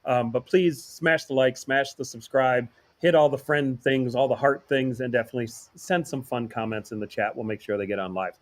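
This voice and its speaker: Male co-host, nasal from the flu, jokey and rambling, mid-range pitch. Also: brisk, hardly any pauses. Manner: joking, rambling storyteller. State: getting over the flu, nasal. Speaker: podcast co-host. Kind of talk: casual chat.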